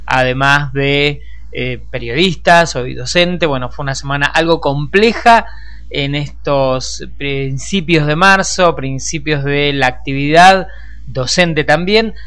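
A man's voice: on the high side, slow pace, bright, forceful tone, clear, resonant tone. An Argentine man presenting a radio programme in a conversational, discursive way, speaking directly to listeners. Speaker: radio host and journalist.

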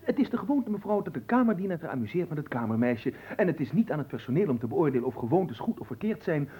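A man's voice: fairly high pitch, very animated, fast, warm tone, slightly rough. A man playing a cheeky, gluttonous manservant in a radio play, glib and playful, flirting and talking between mouthfuls. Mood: cocky. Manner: glib, playful, talks while eating and humming. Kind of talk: theatrical radio play acting.

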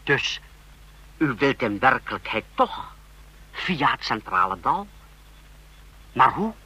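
Sharp, deferential spy chief, radio drama. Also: male voice, mid-range voice, big pitch swings, slow, breathy edge, full character voice. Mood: subdued, puzzled. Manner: formal, deferential, emphatic when reporting. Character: deferential but zealous male intelligence chief serving a dictator. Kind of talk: old radio play acting. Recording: old radio recording with hiss.

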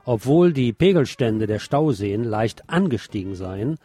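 A man's voice: medium pitch, lively intonation, measured pace, mellow, no room sound. A man reading scripted radio news with some pointed commentary.

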